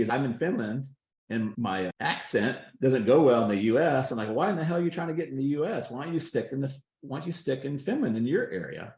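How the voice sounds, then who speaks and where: A man's voice: mid-range voice, darkish tone, echoey room. A man coaching on a group video call, folksy and emphatic, often checking that his point lands. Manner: emphatic, folksy, repeats "does that make sense". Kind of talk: casual coaching talk.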